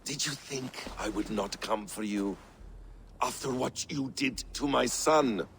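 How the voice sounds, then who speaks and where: A man playing a bitter, vengeful trickster god in a video game, accusing and deliberate in his delivery. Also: mid-range voice, a bit bright, a little hiss or hum. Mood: bitter menace. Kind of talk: video game cutscene dramatic acting.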